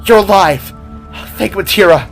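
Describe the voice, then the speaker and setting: A man's voice: high-pitched, expressive, measured pace, a bit bright, soft background music, voice pushed up, heavily acted, poor audio. A man voicing an earnest adult woman warrior in an audio story. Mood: disbelieving relief.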